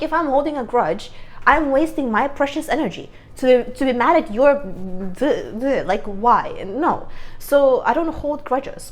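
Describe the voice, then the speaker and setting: A woman vlogger giving a casual, earnest self-help talk to camera, rambling and correcting herself as she goes, on a clip-on microphone. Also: a little high.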